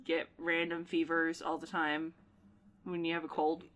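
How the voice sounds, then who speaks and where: A woman chatting loosely with friends on a podcast. She rambles and restarts often, leaning on "like" in nearly every line. Her voice sits a bit low for a woman. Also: completely flat delivery, a little slow, fairly bright tone, lo-fi sound. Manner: rambling, heavy use of "like". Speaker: podcast co-host. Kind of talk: casual chat among friends.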